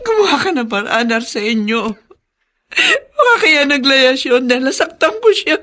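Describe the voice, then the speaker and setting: Fretful, commanding wife and mother, high-pitched and very animated, soap-style radio drama. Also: normal speed. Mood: distraught. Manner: commanding, emotional.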